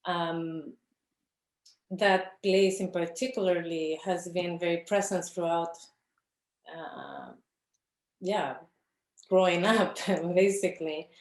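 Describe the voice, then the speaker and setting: A woman talks about her work in a slow, halting way. Her voice sits a little low, and she keeps pausing and restarting as she searches for the right words. The room around her is echoey. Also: big pitch swings. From Chile. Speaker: filmmaker, interview guest. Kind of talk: reflective conversation about her films.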